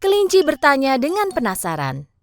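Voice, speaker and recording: A woman narrating a children's cartoon story in a lively, expressive way. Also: high-pitched, normal speed, dry recording, clean studio sound. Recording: narration over loud background music.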